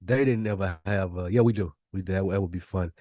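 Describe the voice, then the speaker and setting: A man's voice: low-pitched, fairly quick, mellow, dry recording, clean studio sound. An American man co-hosting a podcast in casual, slangy chat, with a blunt, emphatic way of talking. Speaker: podcast co-host.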